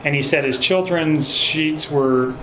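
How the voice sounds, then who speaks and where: Male police investigator, slightly high voice, echoey phone recording, hesitant, measured, polite, unscripted interview. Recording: echoey recorded phone call with faint noise.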